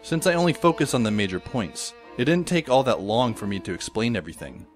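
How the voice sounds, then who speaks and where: A man playing a wry, resigned teenage schoolboy who narrates an audio drama in a deadpan manner. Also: mid-range voice, brisk, lightly acted. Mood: wry, measured. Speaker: narrator and lead character. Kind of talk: first-person scripted audio drama narration. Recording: music behind the voice. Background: instrumental music.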